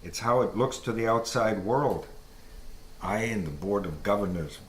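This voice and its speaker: An actor playing a stern church pastor in an audio drama, measured and insistent, pressing for results. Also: male voice, lowish voice, expressive, lightly acted. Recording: roomy, clear background noise.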